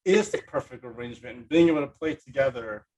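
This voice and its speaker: A man's voice: mid-range voice, expressive, fast talker, noisy background. A man hosting a casual interview, chatting enthusiastically in a rambling way and often repeating himself for emphasis. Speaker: podcast host.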